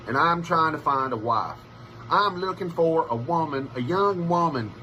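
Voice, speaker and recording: A man's voice: on the high side, pushed and bright, slight background noise, poor audio. A man playing a cocky, domineering rural bachelor in a comic monologue, with a folksy Southern US accent. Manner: folksy, brash.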